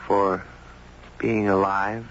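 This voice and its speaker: An actor playing an earnest, gentle writer who narrates a 1970s radio drama in a measured, reflective way. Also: male voice, fairly low pitch, level tone, heavily acted. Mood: gently probing. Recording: muffled, noisy old radio recording.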